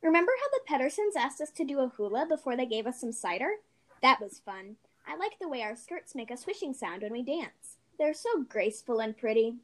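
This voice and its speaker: High voice, swooping intonation, woman acting as dramatic young schoolgirl, spirited, amateur audio play. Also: very animated, brisk, a bit bright, heavily acted. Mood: cheerful, wistful. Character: Molly, indignant wartime American schoolgirl, vengeful, dramatic. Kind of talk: amateur reader's theater podcast.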